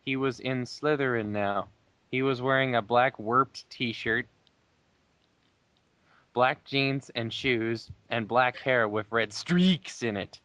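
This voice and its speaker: Guy reading out fanfic kinda slow, snarky asides, really dry room sound. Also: medium pitch, a bit bright, no room sound. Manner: breaks off to mock the text. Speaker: player in a dramatic reading game. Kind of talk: dramatic reading of fan fiction with joking asides.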